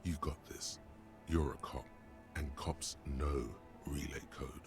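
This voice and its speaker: An actor voicing a grave, wry male inner-voice narrator for a game, measured and dry. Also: steady tone, full character voice. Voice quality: deep gravelly. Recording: game audio with clear music.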